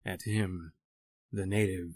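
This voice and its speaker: An actor playing a proud, educated doctor adrift at sea, in a formal, theatrical old-time radio horror style. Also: male voice, low-pitched, fairly even intonation, takes it slowly, a little mellow, no echo, clean studio sound. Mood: grave, brooding.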